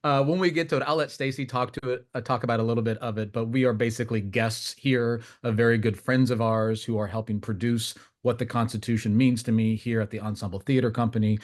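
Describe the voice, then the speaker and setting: A man with a Midwestern accent talking as a guest on a radio interview, relaxed and easygoing. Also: mid-range voice, fast talker, hardly any pauses. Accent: Midwestern American. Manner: relaxed and easygoing, laughs between sentences. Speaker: theater director and professor.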